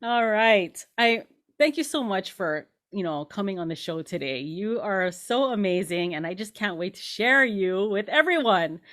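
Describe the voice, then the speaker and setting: A woman's voice: mid-range voice, lively intonation, normal speed, a bit bright. A woman hosting an interview show, introducing her guest effusively and laughing along. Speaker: host.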